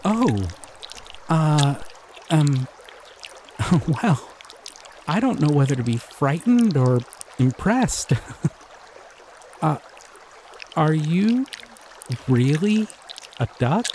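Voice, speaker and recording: A man voicing a gentle, curious male slime creature in an audio roleplay; he is soft-spoken, easily delighted and chuckles often. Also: a little high, very animated, very slow, long pauses, a little hiss or hum, exaggerated voice.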